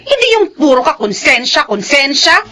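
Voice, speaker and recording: A woman playing a practical, nagging young wife with a Filipino accent in a radio drama, sharp and questioning. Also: high-pitched, big pitch swings, normal speed. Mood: exasperated. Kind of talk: Tagalog radio drama acting.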